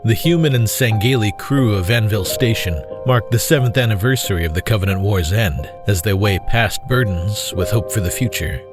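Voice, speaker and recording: A man's voice: lowish voice, normal speed, hardly any pauses. A man narrating a scripted audio drama in a formal storytelling style. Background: music.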